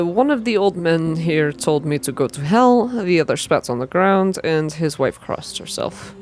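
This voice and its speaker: A young adult reading a visual novel aloud in a soft ASMR style, close to the microphone, with informal asides between passages. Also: medium pitch. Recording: clear background noise.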